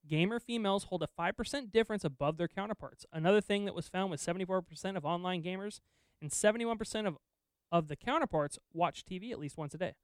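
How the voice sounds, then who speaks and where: A man's voice: high voice, fast talker, clean tone, no room sound. A man hosting a casual tech news podcast, chatty and easy, with lots of fillers and laughter. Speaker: podcast host reading news items.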